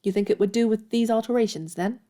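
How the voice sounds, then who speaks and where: A woman's voice: on the high side, fast talker, dark tone, close to an everyday voice. An actress playing a proud, earnest young woman writer in a period audio drama, speaking formally and politely. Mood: polite, guarded.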